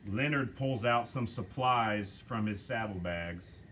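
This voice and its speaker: A man reading stage directions aloud at a script table reading, slow and measured. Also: lowish voice, fairly bright tone, a little hiss or hum.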